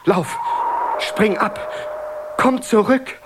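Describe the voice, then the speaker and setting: A man's voice: high-pitched, extremely slow. An actor playing an anxious young man urgently pleading with his lover in a 1970s radio drama. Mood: desperate. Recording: loud tape hiss.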